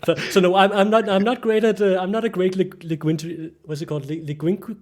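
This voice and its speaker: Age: middle-aged. Sex: man. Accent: Danish. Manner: halting, restarts often, searches for words. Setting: video call from a bright home room. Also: high-pitched, steady tone. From Denmark. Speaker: guest. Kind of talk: casual interview chat.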